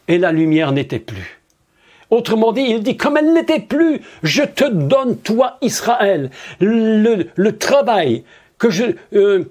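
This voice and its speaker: A male preacher delivers his sermon slowly, stretching out key words. His voice sits high and rises and falls widely as he poses rhetorical questions. He speaks with insistent emphasis. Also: a little mellow.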